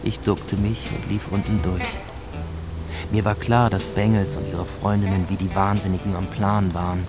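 An actor playing a brooding, lovesick policeman, narrating in measured noir style in a German radio drama, over music and rain. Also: sits low, little pitch movement, close to an everyday voice. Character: brooding, lovesick male cop telling his story. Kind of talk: noir first-person scripted narration.

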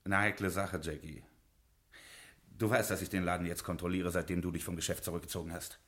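An actor playing a smooth-talking Prohibition gangster boss in a 1950s radio crime play, persuasive and jovial. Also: male voice, low voice, little pitch movement, a little fast, a bit bright.

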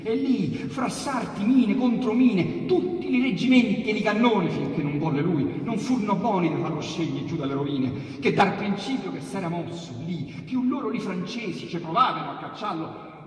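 A man with a Roman accent declaims verse in a theatrical style, his voice sitting a little high. Each line surges or sinks into a hush. He sounds distant from the mic in a big, echoing hall, with soft piano underneath. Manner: theatrical, surging then dropping to hushed. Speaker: reciter of dialect sonnets. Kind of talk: dramatic poetry recitation.

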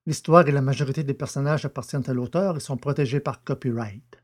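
A man narrating a science-fiction audio drama in a measured, deliberate storytelling manner. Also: medium pitch. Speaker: narrator and producer.

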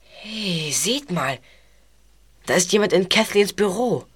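A woman's voice: medium pitch, animated, takes it slowly, husky. A woman playing a casual, level-headed teenage boy detective in an audio drama.

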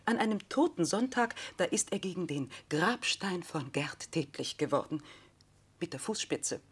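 An actress in a radio play playing a desperate, self-dramatising remarried widow, husky, solemn and insistent. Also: female voice, on the low side, lively intonation, normal speed. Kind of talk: German radio play acting.

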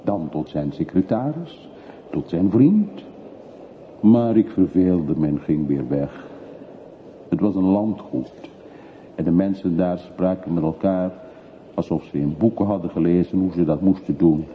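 A man's voice: deep voice, lively intonation, unhurried. An actor playing a brooding middle-aged man back from long exile in a radio play, terse, evasive and reflective. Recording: muffled phone-like old radio recording, faint hiss.

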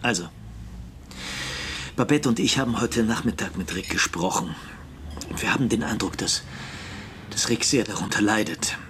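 Actor as measured politician over background noise. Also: male voice, lowish voice, natural voice. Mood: grave, measured. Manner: deliberate, conciliatory, formal. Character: measured, image-conscious politician, placating husband and brother. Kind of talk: radio drama dialogue.

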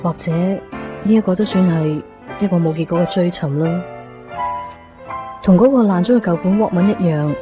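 An actress playing a wistful, reflective narrator in a radio drama monologue, measured and pausing, with a Hong Kong Cantonese accent. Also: female voice, fairly low pitch, close to an everyday voice. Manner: measured, pausing between short phrases. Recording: muffled 1990s radio, guitar music behind.

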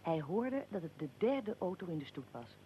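A probing investigator in a radio play, lowish voice, matter of fact and exact, slight rumbly noise behind. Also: female voice, very animated, normal speed, mellow. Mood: factual recounting. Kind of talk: radio drama, interview with narrated account.

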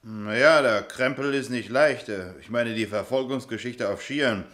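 An actor playing a practical film production chief in a German radio play. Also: male voice, medium pitch, normal speed, a bit bright, lightly acted.

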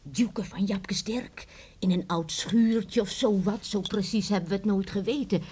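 An actress playing a lively older grandmother in a radio drama, telling eerie tales with chuckles and hushed suspense. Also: medium pitch, measured pace, slightly rough. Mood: eerie, confiding. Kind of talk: radio drama acting, storytelling.

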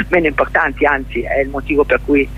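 A middle-aged woman with a husky voice, explaining her own work as a guest in a conversational radio interview over the telephone. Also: extremely low pitch, fairly even intonation, fairly quick. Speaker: interview guest, journalist and stage performer. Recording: dull telephone line to a radio studio.

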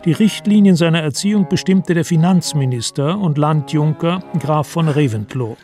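An actor as a sober chronicler, giving composed, factual, literary narration in a radio play. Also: male voice, a little high, normal speed, dark tone, faint music behind, close to an everyday voice. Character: sober male chronicler of royal history. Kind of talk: scripted narration.

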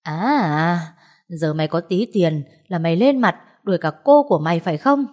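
A woman voicing a rude, grasping middle-aged aunt who wails and scolds loudly in an audio story. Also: lowish voice, moderate pace, close to an everyday voice. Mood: scornful. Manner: wailing, scolding, loud.

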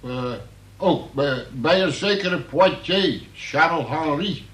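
An actor playing a dutiful police sergeant who recites a statement in court in a formal, plodding radio-play manner. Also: male voice, fairly high pitch, a bit bright. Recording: roomy, slightly distant radio recording.

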